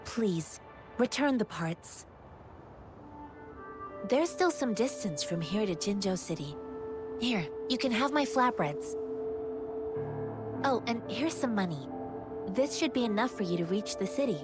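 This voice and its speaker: Calm, earnest young heroine played by an actress. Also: female voice, mid-range voice, a little slow, long pauses, loud music behind the voice, close to an everyday voice. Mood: earnest, composed. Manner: calm, formal, proverb-quoting. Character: earnest, generous young female wandering martial disciple. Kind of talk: video game voice acting.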